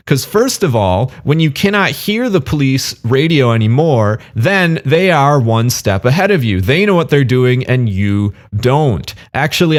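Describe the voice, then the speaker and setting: A man with a mid-pitched voice talks to viewers as a video host giving commentary. His manner is conversational and blunt, and he often poses questions as he reasons through his opinions.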